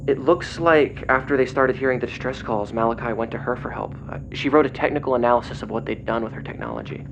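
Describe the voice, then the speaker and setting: A man playing an agitated investigator in an audio drama, speaking in a grave, halting, intense way over a phone. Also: medium pitch, lightly acted. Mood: flustered, searching. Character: agitated male investigator relaying grim secret documents by phone. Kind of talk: audio drama acting, partly reading documents aloud. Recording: dull lo-fi phone call, clear noise.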